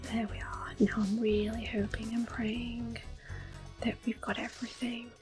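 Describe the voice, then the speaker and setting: A woman speaking in a soft, breathy near-whisper, giving gentle, unhurried ASMR commentary on a video game. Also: a little high, flat delivery, music in the background. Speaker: gameplay video host.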